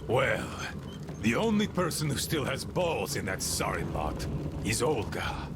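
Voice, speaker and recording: An actor playing a bitter, commanding, eccentric old boatman in a video game, with a gravelly voice and a theatrical, grumbling way of rambling. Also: medium pitch, very animated, a little slow, noisy background. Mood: grudging, sardonic. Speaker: game character, leader of a small river crew. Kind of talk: dramatic video game monologue.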